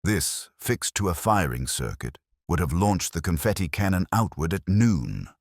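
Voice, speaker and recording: Man acting shrewd police inspector, very deep voice, mild, deliberate, animated rhetorical phrasing, detective storybook. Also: dry recording, clean studio sound, full character voice. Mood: calmly accusing. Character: mild, shrewd male police inspector, calmly exposing culprits. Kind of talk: dramatised mystery story dialogue.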